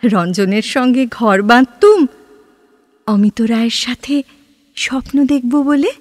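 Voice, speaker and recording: A woman's voice: on the high side, expressive, slow, a little mellow, no room sound, studio quality. A woman playing a free-spirited, inquisitive, romantic young woman in an audio drama, with a playful, probing and theatrical delivery. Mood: dreamy, musing. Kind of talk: Bengali audio drama dialogue.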